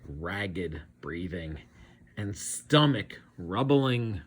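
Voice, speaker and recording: A man reading a children's storybook aloud in a dramatic, expressive, suspenseful way. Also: deep voice, extremely slow, husky. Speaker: read-aloud teacher narrating.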